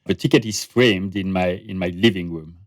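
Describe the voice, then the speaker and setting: Guest spot: French-accented man, low deep voice, reflective podcast guest. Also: normal speed, no room sound, studio quality. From France. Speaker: guest, brand researcher and author. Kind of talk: podcast interview conversation.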